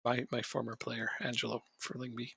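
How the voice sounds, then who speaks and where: A man's voice: medium pitch, completely flat delivery, brisk, gravelly, fairly bright tone, husky, dry recording. A man chatting casually on a live stream while playing a football management game, rambling and joking and chuckling often.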